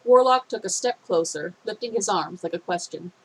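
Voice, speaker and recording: A woman's voice: fairly low pitch, animated, normal speed, slightly rough, rough recording. Phlegmy woman reading a story aloud. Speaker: fan fiction reader.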